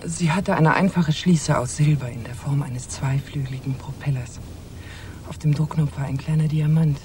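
An actress playing a bold, mysterious married woman in a noir radio drama, with a low, smoky, intimate voice. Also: steady tone, normal speed, mellow, natural voice. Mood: wistful, confiding. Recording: clear background rumble.

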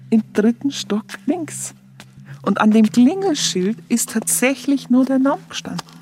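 An actress playing a plainspoken, wry Munich woman with a Bavarian accent, telling her memories haltingly and conversationally in a radio play. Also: medium pitch, darkish tone, natural voice. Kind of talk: colloquial first-person narration in radio play.

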